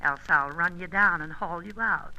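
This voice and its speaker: Bright, brassy, flirtatious American dame. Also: female voice, on the low side, normal speed, lo-fi sound. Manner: brash, teasing, slangy. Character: sassy, flirtatious red-headed American female rival spy. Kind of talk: old-time radio drama acting. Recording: noisy old radio recording, very bright.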